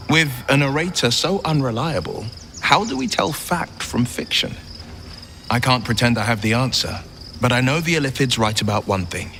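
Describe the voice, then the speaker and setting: Game role: erudite, theatrical man, mid-pitched and bright, with arch, wry delivery. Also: a little hiss or hum. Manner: eloquent, arch. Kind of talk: dramatic game dialogue.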